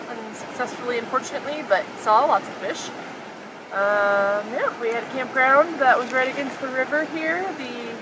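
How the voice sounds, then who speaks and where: Cheerful young woman recapping her trip. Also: fairly high pitch. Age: young adult. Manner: cheerful, easygoing recap with fillers. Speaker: road trip vlogger. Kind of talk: casual travel diary narration. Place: in a truck and outdoors at a campsite. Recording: outdoor camera audio with clear background noise.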